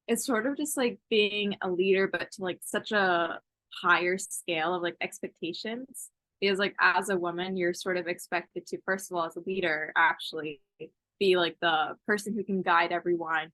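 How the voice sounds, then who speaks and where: A woman's voice: fairly low pitch, a bit bright, dry recording. A woman in her twenties, a college student from Ethiopia raised in Texas, answering interview questions casually with lots of fillers. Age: 20s.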